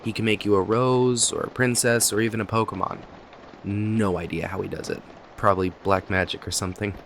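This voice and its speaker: A man's voice: lowish voice, fairly quick. A man acting a talkative, kindly cafe owner in an ASMR roleplay, chatting to the listener in a friendly, hesitant, apologetic ramble. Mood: chatty, upbeat. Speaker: voice actor in an audio roleplay. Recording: steady rain noise behind voice. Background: rain and cafe ambience.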